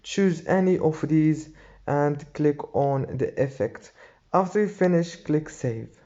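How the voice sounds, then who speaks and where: A man's voice: fairly high pitch, animated, slow pace, mellow. A man narrating a step-by-step app tutorial as its host, in a dull lo-fi voice-over recording.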